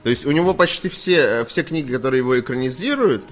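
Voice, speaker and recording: A man's voice: medium pitch, expressive, fast talker, faint music behind. A man hosting a games news stream, talking casually in a slangy, opinionated, rambling way. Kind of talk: casual live stream chat.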